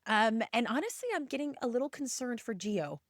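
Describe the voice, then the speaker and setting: A woman's voice: a little high, expressive, a little fast, dry recording, clean studio sound. A woman hosting a podcast, asking questions in casual conversational talk. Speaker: podcast host.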